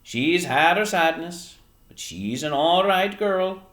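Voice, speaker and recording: A man's voice: on the high side, animated, slow pace, fairly bright tone, echoey room, voice pushed up. A man voicing a grizzled, kindly old fisherman from a children's storybook, with an attempted Eastern Maine accent and folksy, plain speech. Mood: warm, musing. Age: older. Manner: folksy, musing, colloquial.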